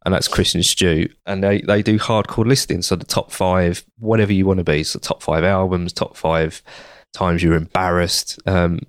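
Croaky low-voiced man, chatty guest. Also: steady tone, normal speed, no echo, studio quality. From United Kingdom. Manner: chatty, rambling with restarts. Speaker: podcast guest. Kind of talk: casual interview answers.

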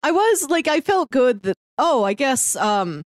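A woman hosting a podcast, chatting casually and in an animated way about a TV series. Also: high-pitched, measured pace, dry recording, studio quality. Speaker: podcast host. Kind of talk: casual chat discussing a TV series.

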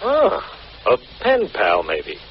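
An actor playing a commanding, sarcastic police detective with dry delivery in vintage radio drama. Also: male voice, on the low side, huge pitch swings, slow, slight background noise, exaggerated voice. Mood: sardonic. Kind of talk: 1940s-50s radio detective drama acting. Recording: muffled old radio recording.

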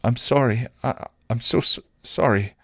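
A man's voice: deep voice, fairly even intonation, normal speed, darkish tone, husky, dry recording, studio quality. A man voicing a timid, guilt-ridden young woman who stammers apologetically in a story reading. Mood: frightened, apologetic.

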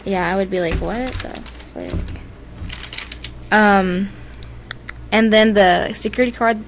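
A woman's voice: mid-range voice, slow pace, slight background noise. A young woman, co-hosting a podcast and telling ghost stories in a rambling way, full of fillers. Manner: rambling, filler-heavy, giggly. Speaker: podcast co-host. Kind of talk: casual ghost-story chat.

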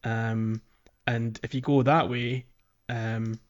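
A man's voice: medium pitch, level tone, slow pace, lo-fi sound. A man chatting casually as a panelist on a gaming podcast.

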